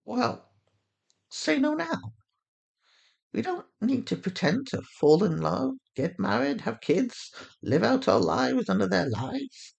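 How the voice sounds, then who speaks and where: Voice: medium pitch, big pitch swings, slow pace, vocal fry, slightly rough, pitched above the performer's own voice, exaggerated voice. A performer voicing a defiant, pleading young woman held captive in an audio drama, urgent and argumentative. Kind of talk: acted audio fiction.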